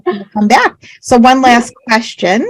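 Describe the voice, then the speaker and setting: A woman hosting a podcast interview, effusive and quick to react with praise. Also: on the high side, lively intonation, rough recording. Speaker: podcast host. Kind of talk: casual interview chat.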